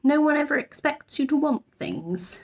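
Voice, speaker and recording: A woman's voice: high-pitched, lively intonation, normal speed, pitched above the performer's own voice. A woman voicing a small, polite young male bear in a children's storybook, earnest, modest and slightly hesitant.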